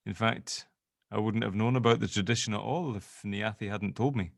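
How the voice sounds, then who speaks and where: A man playing a protective middle-aged dad in an audio drama table read, chatty, hesitant and gently awkward. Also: on the low side, fairly even intonation, moderate pace, gravelly, dry recording, clean studio sound. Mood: rambling, wistful.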